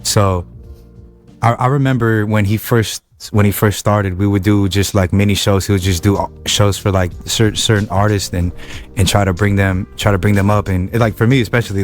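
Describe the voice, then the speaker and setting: A young man from Wilmington, California, a rapper chatting as a podcast guest, talking in halting bursts full of repeated words and restarts. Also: fairly low pitch. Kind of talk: casual interview chat.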